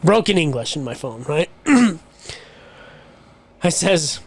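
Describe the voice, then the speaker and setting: A man's voice: a little high, sing-song, takes it slowly. Chatty man telling a long story. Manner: swearing, rambling anecdote with quoted voices. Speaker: podcast co-host. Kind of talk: casual storytelling chat.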